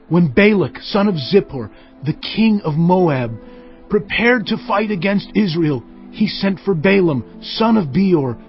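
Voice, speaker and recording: An actor playing a stern, commanding Israelite leader, declaiming solemnly and emphatically in a dramatized audio Bible. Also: male voice, on the high side, highs cut off. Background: music.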